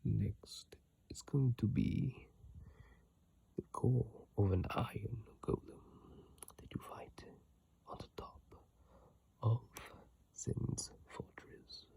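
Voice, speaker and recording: An ASMR creator whispering in a breathy, halting, informal way, commenting on games close to a phone mic. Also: medium pitch, no echo, poor audio. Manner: slow, hushed, halting and informal. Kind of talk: whispered ASMR reading and rambling game commentary. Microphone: iPhone mic, held close.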